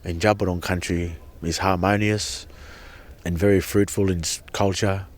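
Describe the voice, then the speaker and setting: A man's voice: low-pitched, fairly even intonation, normal speed. A man giving an earnest, impassioned personal account as a protester, often repeating and restarting his words. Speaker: protester at a sacred site camp.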